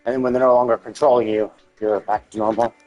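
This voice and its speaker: A man talks through a story game as a streamer, in a casual, rambling way. His voice sits at a middle pitch and he works out his thoughts aloud, with faint guitar music from the game behind him. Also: level tone, quick pace, a little mellow, highs cut off. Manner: mild casual swearing.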